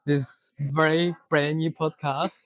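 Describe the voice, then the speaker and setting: Male podcast host, fairly high voice, brief friendly replies, dry sound. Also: little pitch movement, slow pace, dry recording. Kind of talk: casual podcast interview.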